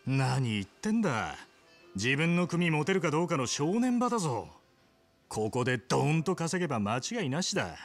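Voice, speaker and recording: An actor playing a brash, ambitious young yakuza in a video game cutscene, with rough, blunt, goading speech. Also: male voice, on the high side, sing-song, normal speed, faint music behind. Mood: pushy, impassioned.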